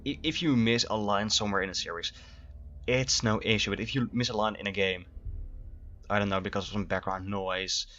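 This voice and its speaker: Male streamer, low voice, loose chatty delivery, muffled stream audio. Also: fairly even intonation, brisk, pushed and bright, soft background music. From Netherlands. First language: Dutch. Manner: chatty, rambling with restarts. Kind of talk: live gameplay commentary.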